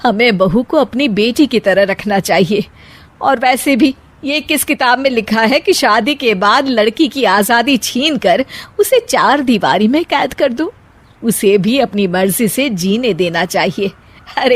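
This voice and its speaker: Cartoon mother-in-law, played by a woman: middle-aged, kindly and shrewd, fairly high voice, homely chiding manner. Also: expressive, unhurried. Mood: gently reasoning. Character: kind, easygoing, shrewd older Indian mother-in-law. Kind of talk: Hindi animated comedy story acting.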